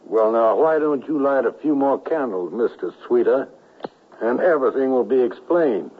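A man's voice: medium pitch, animated, moderate pace, a little hiss or hum, natural voice. An actor playing a vengeful, mockingly polite Confederate gunman in a western radio drama. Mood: mocking, commanding. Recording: dull vintage radio broadcast.

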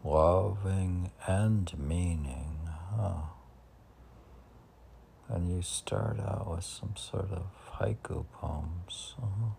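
A man reads his poems in a low, mellow voice with a creaky edge. He takes his time, repeating lines and musing to himself in muttered asides. There is faint noise in the background. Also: drawn-out words. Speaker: poet reading own work. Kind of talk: poetry reading with muttered asides.

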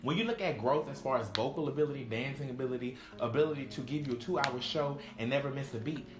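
A man's voice: mid-range voice, echoey room, soft background music. A man with a Texan accent co-hosting a casual podcast chat, talking in an emphatic, opinionated way. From Texas. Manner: emphatic, drawn-out, opinionated delivery. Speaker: podcast co-host.

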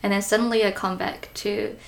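Young woman host from malaysia, mid pitch, easygoing and chatty. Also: level tone, brisk, gravelly. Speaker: podcast host. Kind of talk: casual podcast chat.

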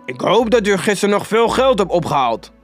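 A man voicing a hearty, exclamatory old man, giddy and generous with a mock-gruff streak, in a storybook reading. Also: high-pitched, big pitch swings, fairly quick, soft background music, voice pushed up. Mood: cheerful, polite.

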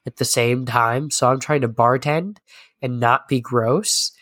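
A man hosting a casual podcast, hoarse and stuffy from a sinus infection, chatting in a rambling, self-deprecating way. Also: medium pitch, a little slow, fairly bright tone, no room sound, clean studio sound. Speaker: podcast host.

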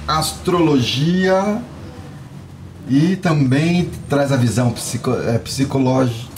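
A man's voice: medium pitch, slow, darkish tone, a little hiss or hum. Joking Brazilian podcast host man. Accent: Brazilian Portuguese. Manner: joking, laughs often. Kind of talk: playful podcast banter.

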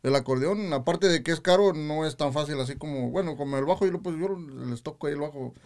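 A man with a Mexican Spanish accent hosts a relaxed interview. His voice sits a little high and sounds smooth and clear. He talks in colloquial slang and often repeats answers back. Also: quick pace. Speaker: podcast host, interviewer. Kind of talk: casual conversational interview.

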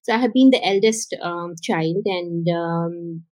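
A woman's voice: fairly low pitch, a little slow, a little mellow, dry recording. A woman from Pakistan with a Pakistani English accent, a podcast guest telling her life story with many hesitations. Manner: hesitant, many ums and uhs. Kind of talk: casual interview storytelling.

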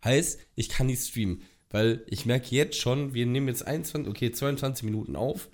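Hoarse young man with a cold. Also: mid-range voice, brisk, a little mellow. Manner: rambling, swears freely, complains. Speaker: podcast co-host. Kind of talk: casual chat.

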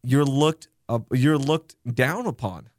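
A young man, born in Pakistan, hosting a casual podcast chat, telling candid, animated stories with some swearing. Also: medium pitch, takes it slowly, no room sound, studio quality. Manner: candid, animated storytelling with profanity. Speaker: podcast host.

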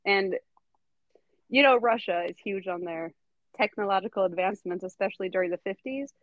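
A woman's voice: mid-range voice, brisk, no room sound, highs cut off. A woman hosting a podcast, telling a story in casual chat, giggling often and full of fillers. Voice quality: giggly, chatty, filler-heavy. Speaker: podcast host.

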